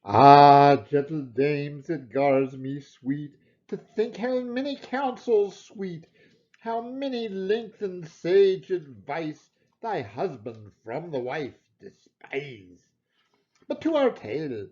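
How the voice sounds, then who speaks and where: A man giving a theatrical, expressive reading of a narrative poem in Scots dialect. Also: fairly high pitch, slow, highs cut off. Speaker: poetry reader.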